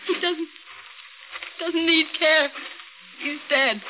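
High-pitched, frightened, distraught heroine. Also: female voice, fairly even intonation, slight background noise, heavily acted. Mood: exhausted, tearful. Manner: breathless, halting, fearful. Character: frightened, distraught woman pursued by a killer. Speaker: radio drama actor. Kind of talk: 1940s radio thriller acting. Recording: muffled old radio recording, loud dramatic music.

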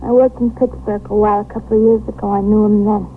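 An actress playing a nervous, evasive young American waitress under police questioning in a 1950s radio drama. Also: female voice, medium pitch, little pitch movement, fast, lightly acted. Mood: confiding, hesitant. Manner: defensive, hesitant, colloquial. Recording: noisy, tinny 1950s radio recording.

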